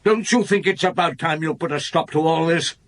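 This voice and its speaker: An actor playing a weary, probing middle-aged police chief inspector in a radio drama, deliberate and authoritative, with a husky voice. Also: male voice, on the high side, natural voice. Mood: stern, accusing. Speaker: lead actor and narrator in a radio detective drama.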